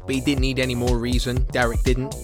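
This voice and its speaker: A man performs a wounded young homeless man in an audio play monologue. He speaks at a middling pitch in short, broken phrases, bitter and haunted, over faint music. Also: monotone, a little fast, natural voice.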